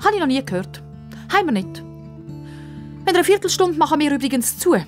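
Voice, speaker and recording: Woman as a high-voiced, curt young librarian. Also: big pitch swings, normal speed, a little mellow, faint music behind. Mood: dismissive. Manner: clipped, dismissive.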